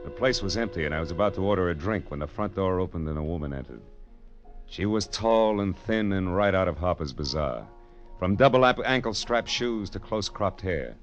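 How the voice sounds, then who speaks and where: Hard-boiled American detective, low and very animated, sardonic and clipped, old radio crime drama. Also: male voice, a little fast, lo-fi sound. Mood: wry, world-weary. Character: wry, hard-boiled private detective, adult man. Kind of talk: first-person narration in 1940s radio detective drama. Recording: old radio broadcast, music behind.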